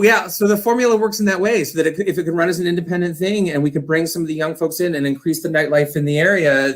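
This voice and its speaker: A man's voice: a little high, a bit bright. A man talking informally as an interview guest, enthusiastic and rambling as he explains his work. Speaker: interview guest, community theater director. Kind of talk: casual conversational interview.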